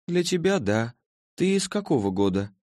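A man's voice: fairly high pitch, sing-song, moderate pace, a little mellow, dry recording, clean studio sound, pitched above the performer's own voice. A man voicing a languid, sleepy female being from the far future in an audiobook, with a listless, clinical drawl. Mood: detached, curious.

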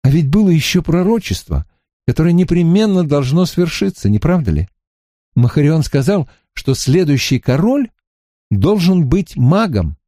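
A man playing a fervent young wizard student, pitched up. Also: dark tone, no room sound, studio quality. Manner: earnest, fervent, slightly mocking. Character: eager talkative young male wizard student.

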